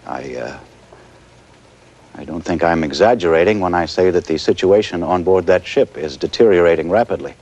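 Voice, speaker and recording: Male anchor for a TV news drama: deep voice, composed, careful, grave. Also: darkish tone, muffled recording. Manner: careful, slightly halting.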